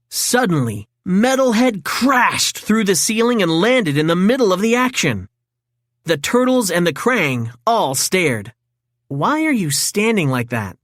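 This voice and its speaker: A woman reading a children's story in an expressive, dramatic read-aloud style. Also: medium pitch, a little slow, no echo, studio quality. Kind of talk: scripted storybook narration for children.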